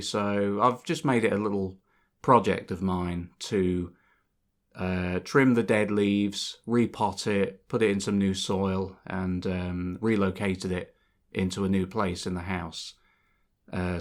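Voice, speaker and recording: A young man with a deep, low voice, nasal and slightly sore from a cold. He rambles at an unhurried pace, thinking aloud with plenty of ums and restarts. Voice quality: slightly sore, bunged-up throat. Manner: unhurried, reflective, many fillers and restarts. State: congested from a cold. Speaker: channel host. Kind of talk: rambling casual monologue. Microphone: voice-over over gameplay footage.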